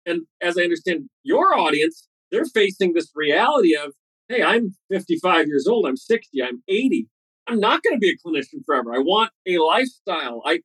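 Brief: man, 40s, dentist guest on a podcast, eager and quick, often restarts, slightly high voice, dry close sound. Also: a bit bright, dry recording, poor audio. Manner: fast, eager storytelling with restarts. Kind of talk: podcast interview answers.

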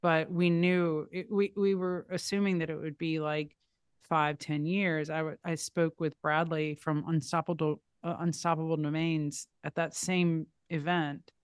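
A woman's voice: sits low, flat delivery, unhurried, clear, resonant tone, no room sound, clean studio sound. A woman recounting memories in a casual conversation, talking in a hesitant way that often trails off and restarts. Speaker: podcast guest.

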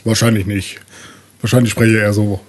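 A man's voice: fairly low pitch, little pitch movement, brisk. A man chatting casually as a player in a tabletop role-playing game. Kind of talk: casual chat.

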